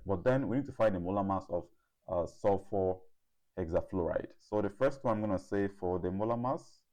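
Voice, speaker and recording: Low-voiced man tutoring step by step. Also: warm tone. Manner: deliberate, repeats figures as he works. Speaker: chemistry tutor. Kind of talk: step-by-step worked-problem lesson.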